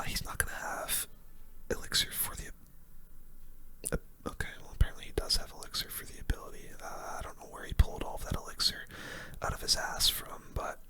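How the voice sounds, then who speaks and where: A person giving casual, rambling game commentary in a breathy, unvoiced ASMR whisper, close to the microphone. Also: pushed and bright. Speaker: gaming video host.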